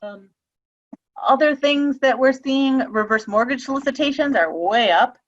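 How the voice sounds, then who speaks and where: A woman's voice: high-pitched, unhurried, dull audio. A woman giving a webinar presentation on a video call, talking casually with frequent ums and restarts. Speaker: webinar presenter on elder abuse prevention.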